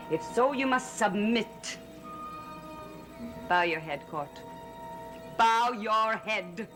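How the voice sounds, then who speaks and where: A slow, solemn coven leader. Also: female voice, on the low side. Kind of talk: 1960s soap opera acting. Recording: old TV soundtrack with music.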